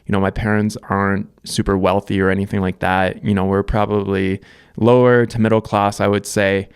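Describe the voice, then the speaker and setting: A man in his thirties from Canada, talking through his life story to camera. His voice sits a bit low and sounds smooth and resonant, recorded close and dry. He speaks reflectively and loosely, with plenty of ums and restarts. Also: flat delivery, normal speed, no room sound. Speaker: vlogger. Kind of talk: casual life-story monologue.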